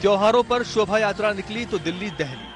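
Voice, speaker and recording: A man delivers a scripted news voice-over in a high register. The narration is dramatic and written for broadcast, and loud music runs beneath it. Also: expressive, fairly quick.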